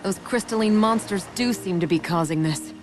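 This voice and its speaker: An actress playing a serious, composed military officer in a video game, formal and grave. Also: female voice, mid-range voice, normal speed, noisy background, lightly acted. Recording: music under the voice.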